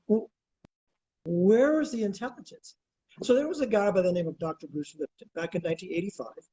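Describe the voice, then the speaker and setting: A man in his sixties, born in Greece, speaks as an interview guest. His voice sits high and has a mellow, slightly husky quality. He explains in an earnest, lecturing way, building one point on the next. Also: rough recording.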